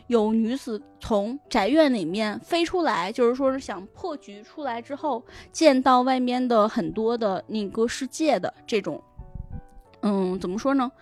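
A woman's voice: fairly high pitch, unhurried, a little mellow. A woman guest in a casual podcast discussion, explaining things and often rephrasing her points, with music behind her. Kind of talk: casual discussion of novels and their adaptations. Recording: music under the voice. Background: background music.

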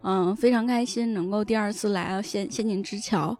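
A woman's voice: medium pitch, a little fast, darkish tone. A woman guest explaining things in a chatty way during a casual podcast conversation. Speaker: guest, web-fiction editor. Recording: music behind the voice. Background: music.

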